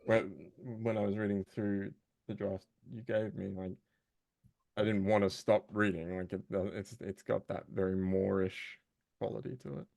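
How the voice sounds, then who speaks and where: A man's voice: lowish voice, flat delivery, moderate pace, gravelly, a little mellow, dry recording. A man chatting casually as an interview guest, often restarting his phrases as he goes. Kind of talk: casual chat.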